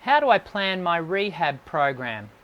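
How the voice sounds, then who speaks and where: A man, a voice teacher, hosts a live stream, reading viewer questions and answering in a measured way. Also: fairly high pitch, big pitch swings, lo-fi sound. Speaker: host and voice teacher. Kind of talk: live-stream show hosting.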